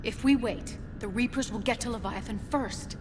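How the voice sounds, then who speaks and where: A woman's voice: on the high side, moderate pace, music in the background, close to an everyday voice. A woman playing a determined female scientist hunting her father's killer, speaking in a resolute, insistent way. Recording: reverb and clear noise.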